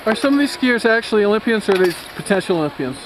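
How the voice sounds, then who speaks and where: A chatty woman holds the camcorder and asks curious questions about the race. Her voice sits close to the mic, with loud outdoor noise all around. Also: mid-range voice, sing-song, racing. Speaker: videographer filming the race. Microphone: holding the camcorder.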